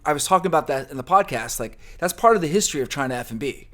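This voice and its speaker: A man's voice: a little high, expressive, very fast, a bit bright. A man from New Jersey with a New Jersey accent, a guest on a podcast, chatting in a playful, loose way with fillers. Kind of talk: casual interview chat.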